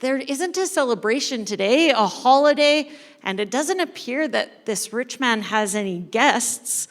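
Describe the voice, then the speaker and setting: In a big reverberant hall, a woman with a high voice tells a story to a live group. She takes her time, drawing out phrases with playful emphasis and leaving pauses as she goes. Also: lively intonation. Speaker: church storyteller leading a live dramatic reading. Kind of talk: animated storytelling to a live audience, with asides to participants. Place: large indoor church room.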